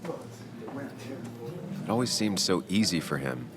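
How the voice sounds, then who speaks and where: A withdrawn, grieving game character with a very deep voice. He is brooding and self-deprecating, and speaks in short, subdued phrases. Clear music sits behind the voice. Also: big pitch swings, slow. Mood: somber, regretful. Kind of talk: video game acting.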